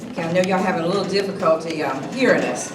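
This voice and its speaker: A woman giving a loud, projecting speech about an honoree at a community reception, at times off script. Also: lowish voice, very fast. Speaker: speaker presenting an honoree. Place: hall at a museum reception. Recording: echoey hall recorded from far off with noise.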